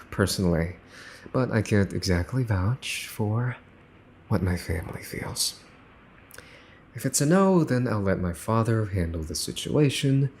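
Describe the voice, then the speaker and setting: A man playing a smug mafia boss's son in an ASMR roleplay, teasing and condescending as he makes cool, possessive threats with pauses for effect. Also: lowish voice, darkish tone, slight background noise. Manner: teasing, smooth-talking, condescending, pausing for effect. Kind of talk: ASMR boyfriend roleplay, scripted monologue to listener.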